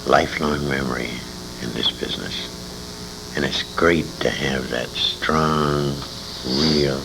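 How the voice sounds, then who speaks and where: A man with a gravelly voice reminiscing in an informal interview, earnest and emphatic in the way he talks. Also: extremely low pitch. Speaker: interviewee, former radio show performer. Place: old-time radio convention. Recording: noisy amateur convention tape recording.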